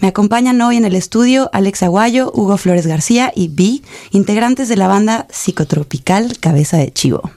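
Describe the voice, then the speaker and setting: Mellow-voiced woman radio host. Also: medium pitch, expressive, moderate pace, hardly any pauses. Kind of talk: radio interview.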